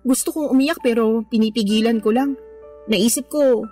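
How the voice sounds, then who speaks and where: A woman's voice: on the high side, normal speed, dark tone, soft background music, lightly acted. A woman playing a hurt young girlfriend. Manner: pleading, emotional.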